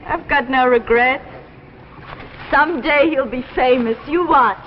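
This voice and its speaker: High-voiced American wife, vintage drama. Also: female voice, a little slow, in a large hall, slight background noise. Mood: fond, assured. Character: loyal, principled young wife, firm and anxious. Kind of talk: 1950s television drama acting. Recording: muffled vintage broadcast, loud music behind.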